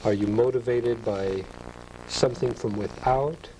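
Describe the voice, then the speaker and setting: A man's voice: mid-range voice, a little slow. A man working as an interviewer, putting considered questions to a guest. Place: recorded interview at a university. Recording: noisy old 1970s videotape recording.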